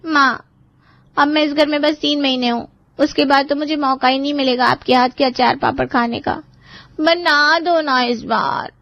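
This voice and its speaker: A woman's voice: high voice, fairly even intonation, normal speed, no room sound, lo-fi sound. A woman playing a spoiled young bride-to-be in a family drama, pleading and sweetly manipulative with her relatives. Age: young adult. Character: wheedling, spoiled young bride-to-be, pampered little sister. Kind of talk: Hindi family drama acting for story video.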